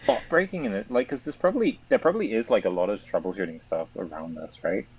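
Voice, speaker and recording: A man's voice: lowish voice, expressive, fast talker, darkish tone, breathy edge, highs cut off. A man chatting casually as a co-commentator on a card game stream, hesitant with many fillers.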